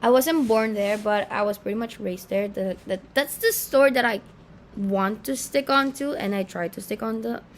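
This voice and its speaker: A woman's voice: mid-range voice, moderate pace, slight background noise. A woman in her twenties, raised in the Philippines, talking to camera in a chatty, distractible, self-mocking way full of "like" and restarts. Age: 20s. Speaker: vlogger. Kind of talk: casual rambling chat to camera. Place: room in the Philippines.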